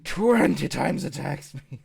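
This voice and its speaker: A man's voice: on the high side, expressive, takes it slowly, creaky, over a noisy crowd. A man chatting casually as a podcast host, emphatic and full of swearing.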